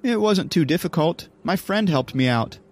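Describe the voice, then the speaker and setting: A man voicing a bold, playful teenage high school girl who likes to tease, in an audiobook. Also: expressive, moderate pace. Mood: pleased. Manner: teasing, mocking. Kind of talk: audiobook character voice.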